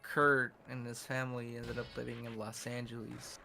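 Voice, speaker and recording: A man's voice: medium pitch, completely flat delivery, normal speed, vocal fry, sharp tone, faint music behind. A man with a Californian English accent chatting casually while co-streaming a game, rambling and cursing freely. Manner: rambling, profane, loose. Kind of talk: casual gaming chat.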